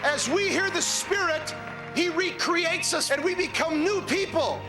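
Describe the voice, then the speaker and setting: A man preaching with deliberate passion, with music playing behind his voice. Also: extremely high pitch. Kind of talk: slow impassioned preaching.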